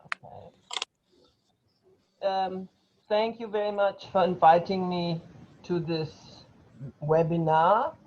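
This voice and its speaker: An older woman, a non-native English speaker, giving an academic lecture over a video call with measured pauses and frequent hesitations. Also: on the low side, fairly even intonation, lo-fi sound, a little hiss or hum. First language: not English. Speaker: invited speaker, professor.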